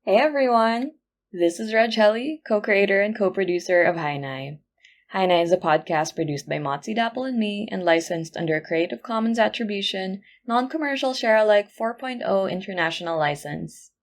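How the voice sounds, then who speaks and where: A woman's voice: mid-range voice, moderate pace. A woman podcast producer reading a scripted outro with credits and announcements.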